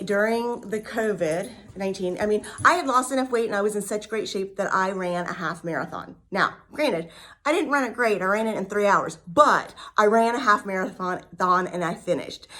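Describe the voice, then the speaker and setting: A woman's voice: medium pitch, a bit bright. A woman in her fifties telling her personal story in a candid, talkative way, with many ums and repeated words. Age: 50s. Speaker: vlogger sharing a recovery story. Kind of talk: casual personal testimony.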